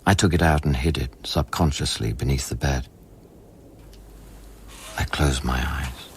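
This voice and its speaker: An actor playing a haunted, weary scientist who narrates his memories in a measured, introspective radio play style. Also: very deep voice, slight background noise. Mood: calm, resigned. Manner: brooding, measured, literary.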